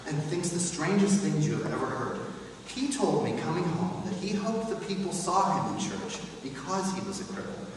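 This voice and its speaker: A gentle, loving father and humble clerk played by a man in a staged reading. He speaks tenderly and reverently. The voice is distant, with long hall reverb and faint noise. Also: on the high side, lightly acted. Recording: distant, echoey hall, some hiss.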